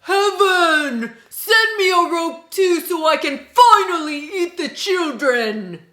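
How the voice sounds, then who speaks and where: A ravenous storybook tiger, acted out by a woman, speaks high with wide, swooping pitch. He is cunning and impatient, drawing out his loud demands at a slow pace. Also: expressive, full character voice. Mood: hungry, demanding. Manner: loud, demanding, drawn-out exclamations.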